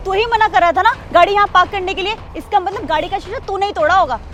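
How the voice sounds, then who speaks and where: A woman's voice: very high voice, fast, background noise. An actress playing an arrogant rich young woman who berates a guard in a short drama skit. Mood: furious. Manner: rude and shouting, later softly apologetic.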